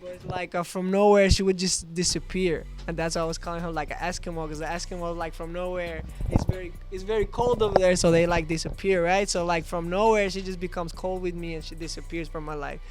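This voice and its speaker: A man's voice: sits high, steady tone, a little fast, few pauses, soft background music. A young man, a native Albanian speaker raised in Spain, talking loosely in a studio interview with many fillers and a voice that trails off. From born in Greece, raised in Spain. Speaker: guest musician. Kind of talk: casual interview.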